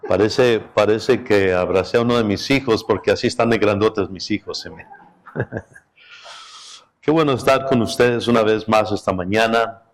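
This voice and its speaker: Low-voiced pastor joking with his church. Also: a little slow. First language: Spanish. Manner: relaxed, chatty and joking with the congregation. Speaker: guest pastor. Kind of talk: sermon opening. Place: church sanctuary.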